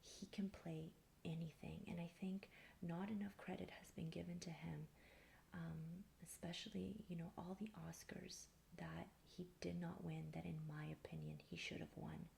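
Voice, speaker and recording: Woman in her thirties, heavily fry-laden soft voice, near-whisper, calm, unhurried ASMR talk, faint hiss. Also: fairly low pitch. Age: thirty-four. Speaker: ASMR video creator. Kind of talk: soft-spoken ASMR, answering personal tag questions.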